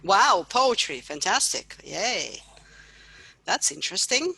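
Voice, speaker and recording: A woman professor leading an online class, greeting students and asking them to introduce themselves in an encouraging, brisk manner. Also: medium pitch, very animated, creaky, sharp tone, breathy edge. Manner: upbeat, encouraging, brisk questions. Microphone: computer mic on video call.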